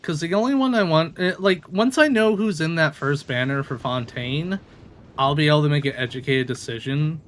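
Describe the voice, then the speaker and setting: A man doing casual live gameplay commentary in a playful, expressive way. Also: fairly high pitch, moderate pace. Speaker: game streamer. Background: music.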